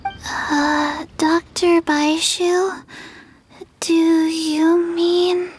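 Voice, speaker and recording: A woman's voice: very high-pitched, little pitch movement, drawn-out words, a little hiss or hum, exaggerated voice. A woman voicing a small, dutiful child helper at an apothecary in a game, with a simple, hesitant manner. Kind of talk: scripted game dialogue.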